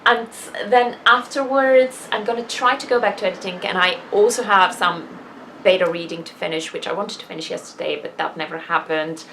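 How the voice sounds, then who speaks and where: A woman's voice: medium pitch, fairly quick, a bit bright, a little hiss or hum, poor audio. A woman chatting casually in a personal vlog, candid and self-deprecating, rambling along with emphatic asides. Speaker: vlogger and fiction writer.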